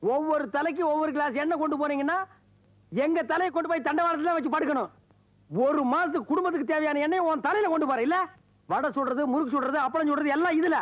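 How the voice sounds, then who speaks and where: A bossy, excitable blusterer in a broad comedy film. He has a very high voice for a man and a scolding, exclamatory delivery, on a dull, muffled soundtrack. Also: fast talker. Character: blustering, bossy, easily panicked elder man.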